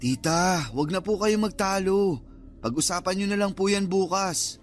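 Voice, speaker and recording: A man's voice: sits high, normal speed, faint music behind, natural voice. An actor playing a concerned young male cousin who coaxes and reasons in a Filipino radio drama. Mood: placating. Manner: coaxing, reasoning, mildly reproachful.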